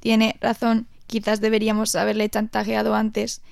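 Lady doing a shaggy lion, really creaky gravelly voice, flat deadpan, grumbly, comedy audio play. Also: fairly high pitch, normal speed, dry recording, clean studio sound. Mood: deadpan, grumbling. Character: grumbling shaggy lion companion who roars. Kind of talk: comic audio drama acting.

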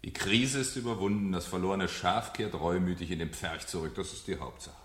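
An actor playing a mocking fire captain with a falsely hearty, theatrical, menacing manner in a 1970s German radio drama. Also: male voice, low-pitched, a little fast, close to an everyday voice. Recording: echoey room, vintage radio play.

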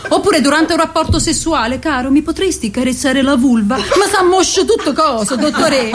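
An actress playing a cheeky, squeamish member of a women's therapy group, playful and exclamatory in a comic radio play. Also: female voice, sits high, expressive, moderate pace, background noise. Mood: amused, squeamish. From Naples.

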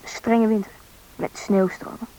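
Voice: medium pitch, little pitch movement, moderate pace, warm tone, lightly acted. A performer playing a young boy who narrates his own story in a scripted radio drama. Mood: reflective. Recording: dull, band-limited radio recording.

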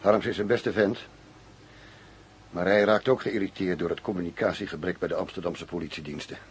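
A man acting a determined police commissioner in a radio play, speaking in a firm, measured manner. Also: sits low, fairly even intonation, natural voice. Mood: pensive, uneasy. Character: determined foreign police commissioner working undercover, male. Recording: faint noise, radio play recording.

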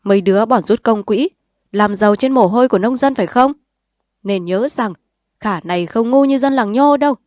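A woman's voice: fairly high pitch, moderate pace, a little mellow, no echo, studio quality, voice pushed up, natural voice. A woman reading a story aloud, voicing a bold, scheming village man who talks in a heated, confrontational way. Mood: defiant.

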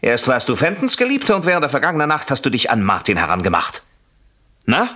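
An actor in a radio play playing a jealous, coldly sardonic husband with a mockingly polite, deliberate manner. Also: male voice, mid-range voice, very animated, sharp tone. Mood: mocking, menacing.

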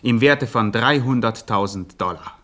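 Man as a pompous, mid-pitched radio chronicler. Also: unhurried, over loud music, close to an everyday voice. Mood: mock-solemn. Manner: formal, pompous announcing. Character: pompous mock-serious male chronicler of gangster history. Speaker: narrator. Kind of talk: mock-documentary scripted narration of a satirical radio drama.

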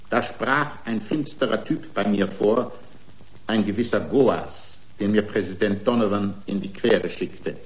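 A radio drama defendant played by a man, mid-pitched and full of grievance. He pleads his own case in formal, long-winded rhetoric, excitable and indignant as he builds his argument. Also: lightly acted, low-quality recording. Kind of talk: radio drama acting, courtroom speech.

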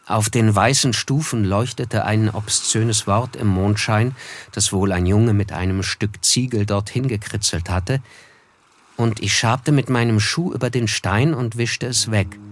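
An actor playing a disillusioned, reflective narrator, telling a literary story in a measured way in a radio drama. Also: male voice, medium pitch, slight background noise, close to an everyday voice. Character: reflective male first-person narrator, disillusioned.

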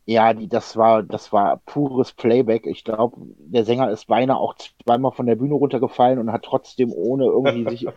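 A man's voice: mid-range voice, fairly even intonation, moderate pace, hardly any pauses, no echo. Chatty man, dry dull podcast sound. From Germany. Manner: chatty storyteller, many fillers and restarts. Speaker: podcast co-host. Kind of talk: casual chat.

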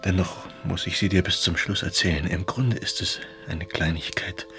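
An actor playing a melancholy, haunted writer confiding in a friend by letter in a German radio drama, intimate and brooding. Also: male voice, low voice, steady tone, moderate pace, bright, forceful tone, soft background music. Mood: mysterious, tender.

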